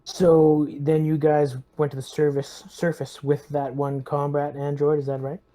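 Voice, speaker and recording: A man's voice: on the high side, monotone, unhurried, warm tone, lightly acted. A man playing a blunt, hostile interrogator who presses captives with curt questions in a tabletop roleplay. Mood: probing.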